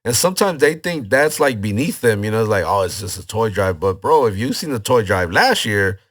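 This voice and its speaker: A man, hosting and organizing events, talking casually with a hoarse voice and loose slang. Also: on the low side, a little fast. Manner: relaxed, loose slangy talk. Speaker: podcast host and event organizer. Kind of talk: casual interview chat.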